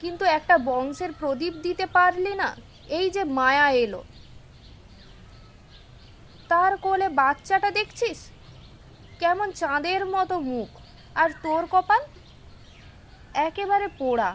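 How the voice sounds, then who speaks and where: A woman's voice: very high voice, animated, normal speed, pauses often, a bit bright, slight background noise. A woman acting a scornful, superstitious village mother-in-law who taunts and scolds, in a horror audio drama. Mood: scolding, scornful.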